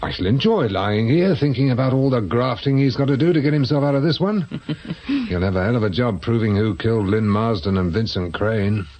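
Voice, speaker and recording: A man plays a British private investigator in a radio play, world-weary and dryly sardonic. His mid-pitched voice moves up and down in a lively way, like someone amused by his own troubles. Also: a little fast, a little hiss or hum, natural voice. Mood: wryly amused. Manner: dry, sardonic. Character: wry, world-weary male private investigator, ex-policeman, laid up injured. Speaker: actor. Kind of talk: radio drama acting.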